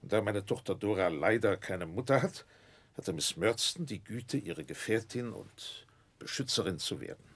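Pompous, creaky-voiced gentleman proctor. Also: fairly low pitch, lively intonation, moderate pace, exaggerated voice. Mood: courteous, formal. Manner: pompous, formal, self-satisfied. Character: pompous, class-proud widowed gentleman proctor, courteous employer. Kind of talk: 1960s German radio drama acting. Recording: 1960s radio recording, faint noise.

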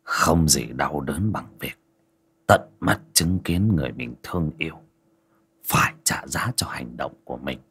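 A man voicing a gaunt older fortune-teller who speaks in a hushed, sighing way. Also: rumbling low, moderate pace, breathy edge, voice pushed down, heavily acted. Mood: solemn. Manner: hushed, half-whispered, sighing.

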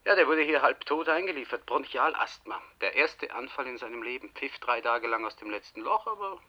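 An actor playing a jovial, teasing hospital colleague in a German radio play, chatty and given to muttering. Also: male voice, mid-range voice, animated, brisk, lo-fi sound, close to an everyday voice.

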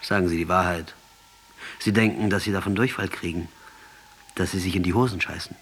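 An actor in a German radio play voicing a fervent, mocking revolutionary commander with a crude mouth. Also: male voice, low voice, fairly even intonation, a little fast, a bit bright. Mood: scornful provocation. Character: fervent, defiant Latin American guerrilla commander and revolutionary. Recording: noticeable background noise.

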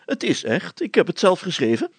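A man's voice: sits high, wildly swooping pitch, moderate pace, husky, dry recording, pitched above the performer's own voice, full character voice. A man voicing a pompous, blustering village mayor from a storybook, speaking in grandiose, declamatory style. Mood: proud, blustering. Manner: grandiose, declamatory, easily indignant. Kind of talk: storybook character voice.

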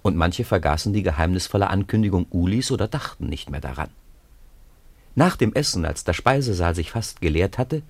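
A man narrating a radio play as a calm storyteller, in a measured, literary manner. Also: deep voice, no room sound. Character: calm male storyteller of a school tale.

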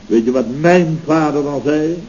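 A man's voice: fairly high pitch, moderate pace, a little mellow, lightly acted. A man playing a pompous, indignant, self-satisfied building contractor in a scripted radio comedy, blustering and rambling in grand words. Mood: smug, self-amused. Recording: dull, noisy old radio recording.

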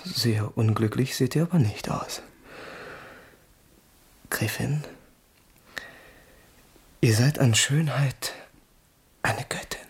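An ardent young prince in a radio play, super husky breathy voice, courtly, kinda ironic. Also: male voice, medium pitch, slow pace, long pauses, exaggerated voice. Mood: ironic, wooing. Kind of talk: German radio drama acting.

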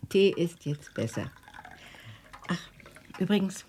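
A woman's voice: lowish voice, expressive, slow pace, very noisy. An actress playing a curious, practical woman in a German radio drama, speaking in a conversational, inquisitive way.

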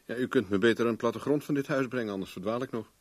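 A man's voice: mid-range voice, quick pace, no echo, close to an everyday voice. A man acting in a radio play as a cool, wry private investigator with a dry, matter-of-fact manner. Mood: wry, watchful.

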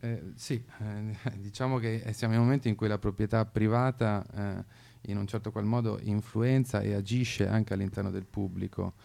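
Creaky, mellow man explaining politics. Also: on the low side, steady tone, normal speed. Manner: hesitant, searching, intellectual. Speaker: radio show speaker.

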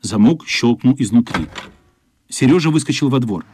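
A man's voice: mid-range voice, lively intonation, moderate pace, dark tone, a little hiss or hum, natural voice. A man narrating a children's radio play as a scripted storyteller. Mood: narrative. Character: male storyteller narrating a wistful children's adventure.

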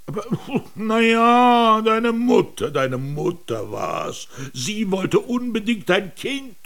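A man's voice: high voice, sing-song, takes it slowly, creaky, fairly bright tone, exaggerated voice. An actor playing a limp, self-pitying older king in an absurdist radio drama, plaintive and theatrical. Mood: sheepish.

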